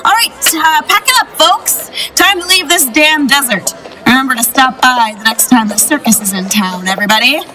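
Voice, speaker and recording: A woman's voice: high voice, lively intonation, moderate pace, pushed and bright, soft background music, poor audio. A woman playing a brash, crooked circus showman in a western audio drama, sneering and bombastic. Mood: smug.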